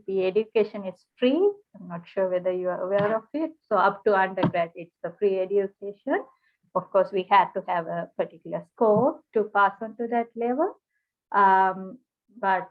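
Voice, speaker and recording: A woman, a researcher explaining her background steadily with pauses. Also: medium pitch. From Sri Lanka. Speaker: cancer researcher. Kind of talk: interview answers. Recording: tinny phone-like video call.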